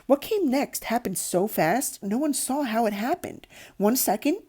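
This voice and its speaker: A woman narrating a children's novel aloud in an expressive storybook style. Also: on the low side. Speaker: audiobook narrator.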